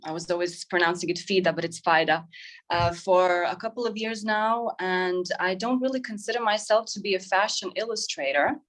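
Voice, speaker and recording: Casting: woman, 30s, lower-pitched voice, reflective interview guest talking informally. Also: normal speed, a bit bright. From Croatia. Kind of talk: informal interview, describing own work.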